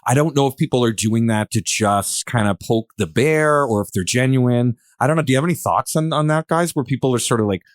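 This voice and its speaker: A man hosting a podcast, posing questions in a casual, rambling way with halting, restarted phrases. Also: medium pitch, no echo, clean studio sound. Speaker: podcast host.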